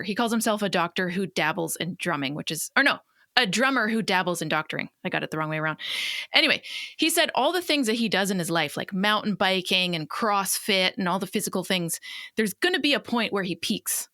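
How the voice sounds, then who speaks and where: A woman in her late thirties hosts an interview podcast, listening closely and answering with a clear story of her own. Her voice is bright and crisp. The sound is dry, with no room echo. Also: mid-range voice, lively intonation, brisk. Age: late 30s. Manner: attentive listener, lots of "mm-hmm", clear storytelling. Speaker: podcast host. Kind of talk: interview conversation.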